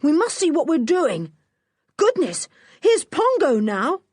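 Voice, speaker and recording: A woman voicing a plucky boy who shouts orders to the others. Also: high voice, very animated, slow pace, no room sound, clean studio sound, voice pushed up, exaggerated voice. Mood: urgent.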